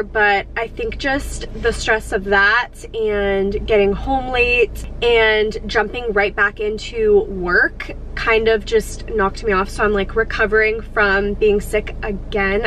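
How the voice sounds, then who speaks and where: A young woman in her twenties chatting to camera from a parked car, sounding congested from a cold and gushing with excitement. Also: mid-range voice, slight background noise, low-quality recording. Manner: gushing, upbeat, lots of "like" and "so excited". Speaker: lifestyle vlogger. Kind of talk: casual chat to camera. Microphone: camera on the dashboard.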